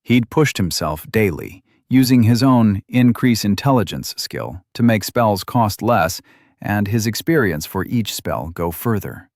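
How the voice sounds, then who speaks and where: Guy narrating a fantasy audiobook, lowish voice, dry close sound. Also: darkish tone, dry recording. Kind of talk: scripted fantasy story narration.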